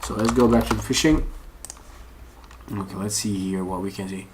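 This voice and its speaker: A man's voice: on the low side, normal speed. A man giving a casual, unscripted screen-share tutorial, rambling and thinking aloud as he searches. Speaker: online course instructor. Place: at a computer. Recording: noisy room with keyboard and mouse clicks. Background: typing and mouse clicks.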